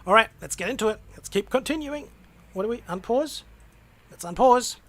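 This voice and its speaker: A man's voice: very high voice, lively intonation, moderate pace, a bit bright. A man hosting a live game stream with casual, enthusiastic commentary, often repeating phrases for emphasis.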